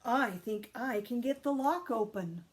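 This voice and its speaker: A woman's voice: a little high, animated, unhurried. A woman voicing a determined young girl rabbit from a storybook, earnest and firm. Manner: firm, urgent, encouraging.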